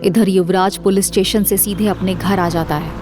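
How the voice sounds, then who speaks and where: Lower-voiced woman narrating a drama story. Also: steady tone, normal speed, music in the background.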